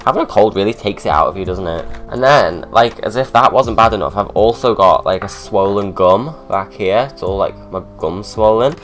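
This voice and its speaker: Deep-voiced guy, all stuffed up with a cold, ranting chatty makeup talk, faint music behind. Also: normal speed, hardly any pauses, fairly bright tone. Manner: chatty, sarcastic, ranting asides. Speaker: drag makeup vlogger. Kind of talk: casual chatty makeup tutorial.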